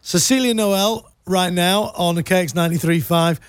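A male radio host interviewing a guest in a studio, easygoing and polite, a little halting. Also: high-pitched, smooth, dry recording. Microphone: studio microphone.

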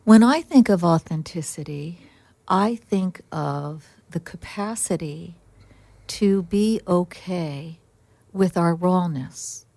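A middle-aged woman with a lowish voice reflects gently and thoughtfully on her work in social work. The sound is dry and close, with no room around her. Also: takes it slowly, vocal fry, no echo. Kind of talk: reflective interview answers.

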